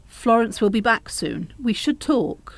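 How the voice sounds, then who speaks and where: An actress playing a practical, persuasive French mother of a grown daughter in a radio play, brisk and pressing. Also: on the high side, expressive, vocal fry, dry recording. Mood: brisk, polite.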